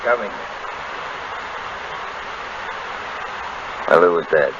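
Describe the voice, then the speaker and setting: A jumpy, slangy 1930s radio crook. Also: male voice, low-pitched, expressive, quick pace, muffled recording. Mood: suspicious. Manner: slangy, curt tough-guy talk. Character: tough, jumpy male crook, slangy and suspicious. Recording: noisy 1930s radio broadcast recording.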